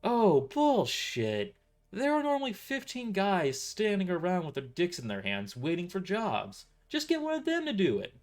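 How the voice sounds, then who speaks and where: Man playing a grumpy, animated young male mercenary. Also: sits high, normal speed, pitched above the performer's own voice. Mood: exasperated. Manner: irritable, sarcastic. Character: grumpy, foul-mouthed 22-year-old male mercenary.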